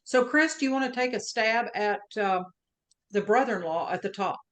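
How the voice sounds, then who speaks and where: A woman's voice: a little high, normal speed, fairly bright tone. Playful woman hosting a chat show. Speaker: host.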